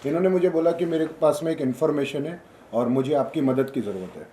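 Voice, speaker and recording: A man's voice: fairly high pitch, fast talker, mellow. A man explaining events in a matter-of-fact way at a press conference. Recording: echoey room, faint noise.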